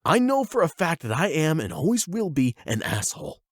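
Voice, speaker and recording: A man's voice: a little high, sing-song, fairly quick, no room sound, clean studio sound, pitched above the performer's own voice. A man voicing a bitter, self-loathing young delinquent who is half demon, brash, sweary and defensive, in an anime audio roleplay. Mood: agitated, self-loathing.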